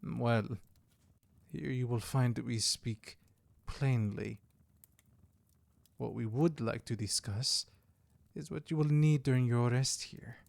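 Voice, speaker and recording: A man plays a diplomatic vampire clan elder in an audio roleplay. His mid-range voice has a lot of gravelly fry and is recorded dry. He speaks formally, measured and unfailingly polite. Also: medium pitch, steady tone, darkish tone, no room sound. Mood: diplomatic, composed. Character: courteous, diplomatic youthful-looking male elder of vampire clan. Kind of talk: audio roleplay drama acting.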